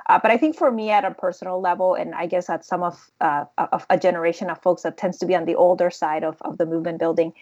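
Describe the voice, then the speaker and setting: A middle-aged woman from Honduras speaks in a lowish voice, earnest and reflective, often pausing with fillers and restarting her sentences. The sound is dry and a little dull, like lo-fi remote audio. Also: fairly even intonation, a little mellow, no room sound. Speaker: guest, immigrant rights advocate. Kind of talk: interview conversation.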